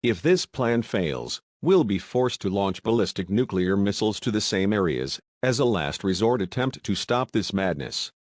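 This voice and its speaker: Man acting as a grave, low-voiced President. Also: animated, darkish tone, no echo. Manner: solemn, measured, formal. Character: grave male President giving a national emergency address. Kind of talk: formal scripted crisis address.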